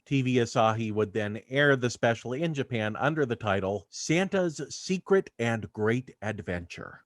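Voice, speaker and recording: A man's voice: medium pitch, no room sound. Man narrating in a dry-sounding space. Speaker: video host. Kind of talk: scripted narration.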